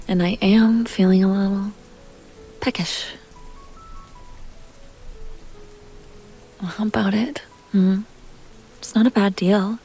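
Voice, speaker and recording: A breathy, husky vampire heiress, acted by a woman, speaks intimately to the listener in a roleplay audio drama. She is refined, coy and possessive, teasing in soft, deliberate phrases that often sink into a whisper. Faint music plays behind her. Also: medium pitch. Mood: seductive, direct.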